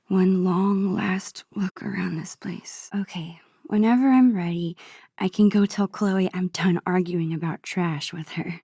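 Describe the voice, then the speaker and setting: A gentle, introspective teenage girl from a video game. Her voice is soft and husky with breath, recorded very dry and close. She takes her time over each phrase, hesitant and wistful, as if musing to herself. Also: lowish voice, no room sound. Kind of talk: naturalistic video game dialogue and inner monologue.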